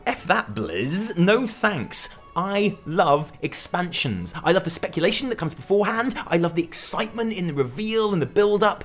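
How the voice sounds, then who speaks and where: A man hosting a gaming news show, giving scripted comic commentary in a theatrical, emphatic, sweary way. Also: high-pitched.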